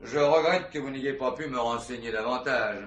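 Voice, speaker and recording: A man's voice: medium pitch, moderate pace, lo-fi sound, background noise, lightly acted. An actor playing a wily, insistent police commissioner in a French radio mystery, repeating his words with probing, mock polite courtesy. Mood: probing, courteous. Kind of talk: French radio crime drama acting.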